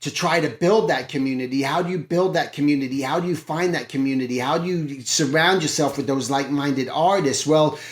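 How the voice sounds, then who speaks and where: Man, earnest pep talk, encouraging art teacher, fairly high voice, echoey room. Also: brisk, hardly any pauses. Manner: earnest, encouraging, repeats phrases and asks himself questions. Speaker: art teacher and channel host. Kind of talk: motivational talk to camera.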